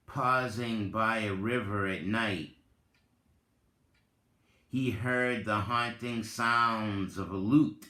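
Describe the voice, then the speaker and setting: A man giving a dramatic reading with spoken commentary, deliberate and halting, in short phrases. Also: lowish voice, bright, forceful tone. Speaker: reader, content creator. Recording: roomy, echoing voice recording.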